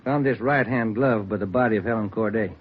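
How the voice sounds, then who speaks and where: Man acting fast-talking, needling police detective. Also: fairly low pitch, close to an everyday voice. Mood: persistent, casual. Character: needling, plain-spoken male police detective partner. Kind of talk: 1950s radio police procedural acting. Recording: dull, noisy vintage radio recording.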